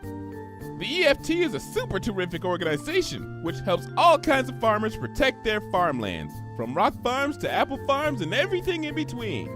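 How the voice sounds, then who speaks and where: A man's voice: very high voice, wildly swooping pitch, normal speed, over music, heavily acted. A man voicing a hyperactive, cheerful young female cartoon pony with a bubbly, gushing, rambling manner. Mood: earnest, chirpy.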